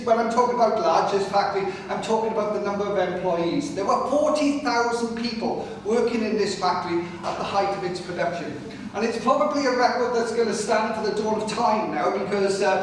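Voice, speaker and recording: Loud-voiced man in an echoey hall. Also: high voice, recorded from a distance, a little hiss or hum. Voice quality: naturally loud voice. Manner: chatty, addresses the audience directly with frequent "right?". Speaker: local history speaker. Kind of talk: public history talk to a live audience. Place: large hall with pillars, live audience. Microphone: no microphone, far room pickup.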